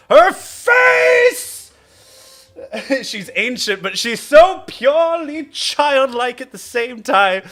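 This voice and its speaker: A man doing comedic live commentary over a game, talking in an excitable, effusive, exclamatory way. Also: very high voice. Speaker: game streamer.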